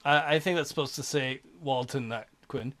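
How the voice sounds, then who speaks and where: Bright, joking let's play guy. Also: medium pitch, moderate pace. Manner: joking, playful asides. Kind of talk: visual novel let's play commentary.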